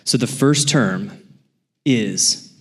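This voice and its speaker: A man's voice: mid-range voice, very slow, fairly bright tone. Upbeat man hosting a live stage podcast. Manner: upbeat, playful, addressing the audience. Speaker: podcast host. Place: conference stage before an audience.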